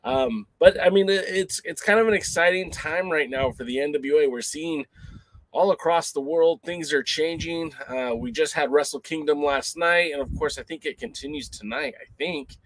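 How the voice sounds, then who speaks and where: A man's voice: a little high, moderate pace, no echo, rough recording. A man hosting a casual talk show, rambling and conversational with lots of ums and uhs. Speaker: podcast host.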